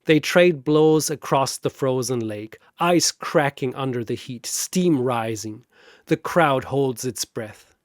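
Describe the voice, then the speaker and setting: A man narrating a scripted battle story in a theatrical, deliberate way, like a dramatic commentator. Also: mid-range voice, dry recording, clean studio sound.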